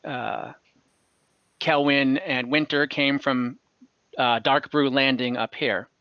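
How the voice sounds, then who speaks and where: A man narrating a tabletop fantasy game as game master over a video call, in a halting, improvised way. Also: mid-range voice, fairly even intonation, smooth, no room sound. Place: indoors at home, on a video call. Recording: slightly dull online call audio.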